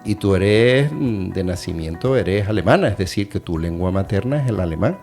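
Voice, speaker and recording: A man with a lowish voice hosts an educational radio segment at an unhurried, searching pace, often pausing on fillers as he gathers his thoughts. Soft music plays faintly under his voice. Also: expressive. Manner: hesitant, thoughtful, many fillers. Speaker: radio host and adult education teacher.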